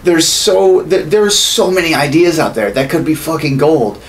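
A man's voice: on the high side, expressive, moderate pace. A man in his thirties ranting casually and profanely as a podcast co-host in a small room. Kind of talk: casual opinionated chat about games and movies. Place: small home studio room, indoors. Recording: clearly noisy room recording.

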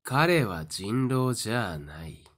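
A man playing a sly, smug fortune-teller in a voice drama, theatrical and condescending with a taunting calm. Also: fairly low pitch, slow pace, exaggerated voice. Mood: smugly calm. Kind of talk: Japanese voice drama acting.

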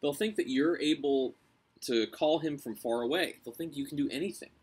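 A man voicing a brave, cunning young girl, coaxing and deferential as she flatters. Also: a little high, slightly rough. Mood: coaxing, scheming. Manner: coaxing, deferential, quick-thinking.

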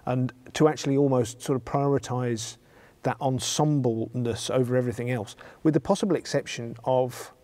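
A man's voice: medium pitch, fairly even intonation, moderate pace, dark tone. A man in his fifties from England hosting an interview, speaking in a considered, hesitant way with many restarts, on a lapel mic in a studio hall. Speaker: interviewer host. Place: studio hall with a grand piano.

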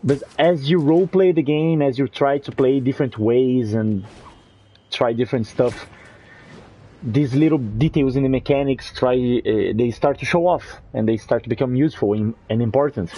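A man's voice: medium pitch, normal speed, breathy edge, poor audio. A man whose first language is Portuguese, speaking English with a Portuguese accent, casually chatting with viewers while playing a game. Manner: relaxed, chatty, answering viewers. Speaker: gameplay streamer.

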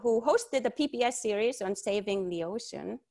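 A woman's voice: fairly high pitch, lively intonation, normal speed, darkish tone. A middle-aged woman from Iceland, co-hosting a video call interview, talks earnestly and passionately with many fillers and restarts. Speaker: co-host. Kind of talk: casual video call talk. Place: home study with bookshelves and windows. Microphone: wired earbuds on video call.

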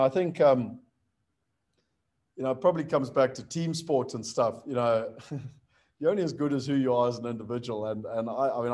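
Man, middle-aged, from South Africa, casual webinar host, rambling, lots of fillers, webcam on a video call, mid pitch. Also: darkish tone. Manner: rambling, many fillers and restarts. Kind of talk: casual talk.

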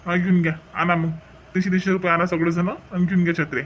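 A man's voice: sits high, level tone, fast, highs cut off, slight background noise, lightly acted. A man doing a pushy peddler with a Varhadi accent. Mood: haggling. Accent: Varhadi Marathi. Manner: haggling, stubborn. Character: pushy middle-aged male street peddler. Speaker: umbrella seller.

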